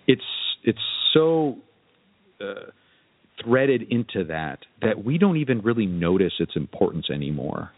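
A man's voice: on the low side, dry recording, narrow, tinny audio. A man hosting a podcast in a casual interview style, asking thoughtful, rambling questions. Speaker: podcast host.

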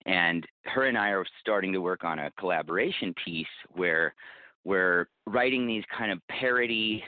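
A man giving a thoughtful, conversational interview as a guest, explaining things in a measured way. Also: sits low, no room sound. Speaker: podcast creator, interview guest. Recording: tinny phone-like line, dry.

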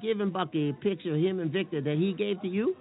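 Man acting a high-voiced, flustered, good-natured boss. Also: quick pace. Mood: amused, amazed. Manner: stammering, incredulous. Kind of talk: comic audio skit dialogue. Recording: tinny, phone-like band.

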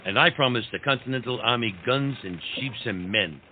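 A man's voice: mid-range voice, brisk, close to an everyday voice. A man playing an exuberant, idealistic French marquis in a theatrical comic period drama, grand and rousing, dropping French phrases. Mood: rousing, earnest. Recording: tinny phone-like line, clear background noise.

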